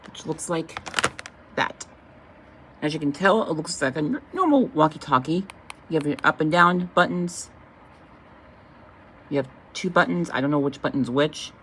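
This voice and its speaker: Low-voiced woman, casual and halting. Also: expressive, a bit bright, a little hiss or hum, low-quality recording. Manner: informal, halting, vague wording. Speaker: product reviewer. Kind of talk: casual unboxing demonstration.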